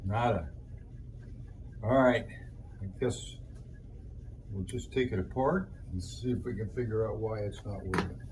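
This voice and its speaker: A man's voice: on the low side, measured pace, pauses often, noisy background. A man hosting a hands-on repair demonstration, talking casually to viewers in a folksy way as he thinks aloud. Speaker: host of a DIY electronics repair video.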